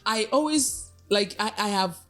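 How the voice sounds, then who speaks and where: A woman's voice: medium pitch, lively intonation, measured pace, fairly bright tone, faint music behind. A Nigerian woman hosting a podcast, telling stories in a chatty way, laughing often and giving frequent little agreements. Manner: chatty storyteller, laughs often, many backchannels. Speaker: podcast host. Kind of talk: casual podcast conversation. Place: United States.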